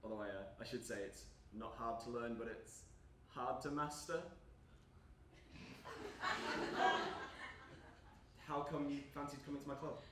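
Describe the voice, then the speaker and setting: A man's voice: mid-range voice, flat delivery, measured pace, long pauses, close to an everyday voice. A young man acting on stage as an awkward, eager, lonely gamer, rambling and apologising nervously. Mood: awkward, friendly. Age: young adult. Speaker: actor in a stage play. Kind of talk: live stage acting, naturalistic dialogue. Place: indoor stage performance space. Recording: echoey room from far off, background noise.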